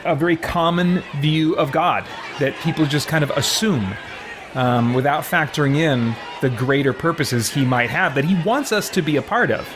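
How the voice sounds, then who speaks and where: A man's voice: a little high, moderate pace. A man giving casual, philosophical commentary as he hosts a video game playthrough, chatty and reflective. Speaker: let's play host. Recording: noisy, with game crowd sounds behind. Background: video game ambience and crowd noise.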